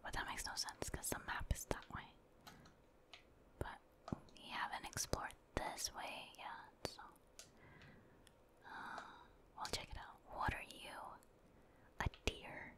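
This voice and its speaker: Slow whispering woman, ASMR gaming. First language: Filipino (Tagalog). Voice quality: breathy unvoiced whisper. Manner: gentle repetition of short phrases, musing aloud to viewers. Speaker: ASMR gaming video creator. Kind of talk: whispered ASMR game commentary.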